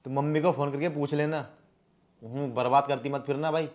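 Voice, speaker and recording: A man's voice: a little high, fairly even intonation, brisk, a little mellow, close to an everyday voice. A young man with an Indian accent acting a stubborn, fault-finding but earnest husband in a domestic drama. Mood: dismissive. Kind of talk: scripted domestic drama acting in Hindi with some English.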